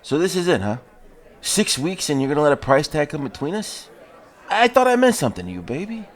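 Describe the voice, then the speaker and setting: A man's voice: fairly high pitch, big pitch swings, fairly quick. A man playing an evasive, nervy boyfriend dodging his debts in an audio drama. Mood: uneasy, pleading. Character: evasive, nervy deadbeat boyfriend on the run from debt.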